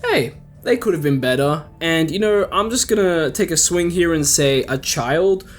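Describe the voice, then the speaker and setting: A man with a fairly high-pitched voice narrates a casual video essay over a faint music bed. He sounds conversational and wry, often breaking into his own sentences with little asides, in the manner of an online video host. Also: lively intonation, normal speed. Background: background music.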